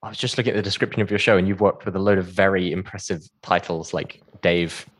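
A man's voice: low-pitched, fairly even intonation, fast talker. A man presenting on radio, interviewing a guest in a light, chatty way. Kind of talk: light interview chat with a comedian.

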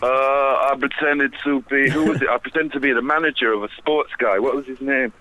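A man's voice: medium pitch, fairly even intonation, fairly quick, a crowd in the background, low-quality recording. A man calling in to a radio show, spinning swaggering, slangy tall tales. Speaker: caller. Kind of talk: radio phone-in banter.

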